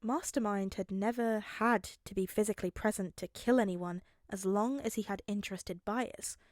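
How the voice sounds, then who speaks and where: A woman's voice: medium pitch, moderate pace, no echo, clean studio sound. A woman reading scripted story narration in the style of an audiobook. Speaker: fan fiction narrator.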